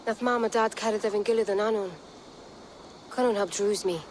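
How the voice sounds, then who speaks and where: A Celtic village bride-to-be played by a woman speaks at a middle pitch. The game dialogue has clear ambience behind it. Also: steady tone, a little fast, a little mellow, a little hiss or hum, close to an everyday voice. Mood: friendly. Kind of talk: video game dialogue acting.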